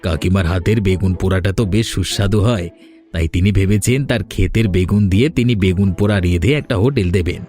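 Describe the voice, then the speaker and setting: A man's voice: deep voice, quick pace, mellow, close to an everyday voice. A man voicing a sly, greedy villager in a cartoon who talks in teasing riddles. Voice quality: teasing, evasive. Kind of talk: Bengali cartoon voice acting. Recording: music under the voice.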